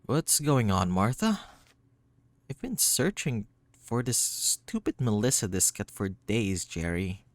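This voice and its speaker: A man's voice: on the low side, sing-song, slow. A man voicing an exasperated, suspicious library worker in a visual novel game.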